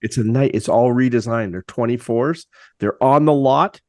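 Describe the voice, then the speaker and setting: A man's voice: medium pitch, moderate pace, darkish tone, no echo. An older man with a mid-range voice co-hosts a casual podcast and rambles through stories in a chatty way. The sound is dry and close-miked. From Canada. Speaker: co-host.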